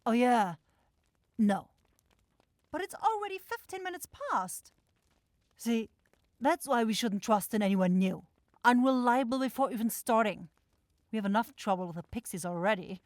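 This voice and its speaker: A woman's voice: on the high side, lively intonation, moderate pace, pauses often, no room sound, close to an everyday voice. A woman playing a self-assured, impatient demon shopkeeper in a fantasy ASMR audio roleplay, with a theatrical, coaxing salesy manner. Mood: irritated. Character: bossy, salesy demon-like soul shop keeper sister, impatient.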